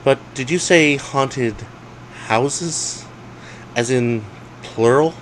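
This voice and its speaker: A man's voice: mid-range voice, extremely slow, slight background noise, voice pushed up. Man acting a dry, brooding investigative reporter. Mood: dry, curious. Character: brooding, sardonic, curious male investigative reporter.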